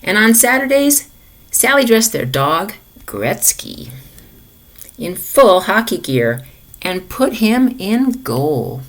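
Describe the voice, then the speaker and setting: A woman reading a children's picture book aloud in an expressive way, as if to young listeners in a lesson. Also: mid-range voice, takes it slowly. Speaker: storybook reader for a lesson.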